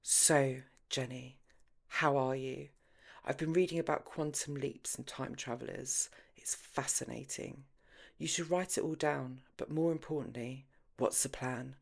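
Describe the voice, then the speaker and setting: A woman's voice: rumbling low, steady tone, a little slow, gravelly, full character voice. Woman acting a mischievous, dramatic party girl. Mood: hushed, intent. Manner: dramatic, insistent. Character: mischievous, tipsy, free-spirited woman, a bold hostess.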